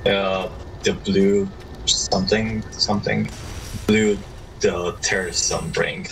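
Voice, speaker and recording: A man with a low voice chats casually as he follows along in a co-op game. He speaks hesitantly with small stammers, and clear noise sits behind him. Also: steady tone, slow. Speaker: gamer following in co-op. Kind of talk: casual gameplay chat.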